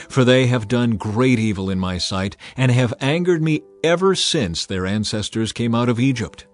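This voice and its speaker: A man reading scripture aloud as narrator in a measured, solemn way. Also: mid-range voice. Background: soft music.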